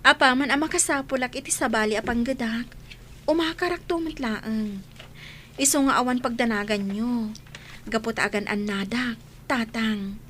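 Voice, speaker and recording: A woman's voice: a little high, moderate pace, a bit bright, slight background noise, close to an everyday voice. A woman playing an earnest young woman looking for work in a scripted audio drama. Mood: reassuring. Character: earnest young woman seeking work, reassuring her father. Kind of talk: scripted radio-style drama in Ilocano.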